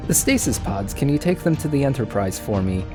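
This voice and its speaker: A man's voice: medium pitch, sing-song, fairly quick, over music, pitched above the performer's own voice, close to an everyday voice. A man voicing a confident, familiar and probing male starship officer in a story. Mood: casual.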